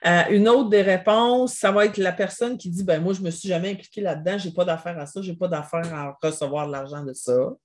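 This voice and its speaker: A woman with a Québécois accent, a family business mediator explaining as an interview guest in a measured, reflective way. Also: fairly low pitch, fairly even intonation, darkish tone. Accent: Québécois French. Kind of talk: interview answers.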